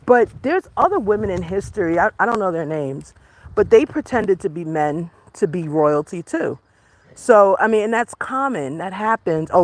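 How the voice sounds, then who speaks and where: A woman from the United States hosts a casual livestream and rambles to her viewers. She talks in a loose, animated way, full of asides. She is recorded outdoors while out on a walk. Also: lowish voice. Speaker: livestream host. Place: outdoors on a walk, rural or natural setting.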